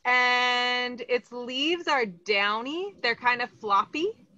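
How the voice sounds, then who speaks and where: Bright, upbeat American woman teaching. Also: fairly high pitch, slow, soft background music. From Los Angeles, California. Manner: upbeat, encouraging, asks the audience questions. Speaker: plant educator. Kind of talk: interactive online lesson. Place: greenhouse, indoors. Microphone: video call, laptop or webcam. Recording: slightly dull video call audio.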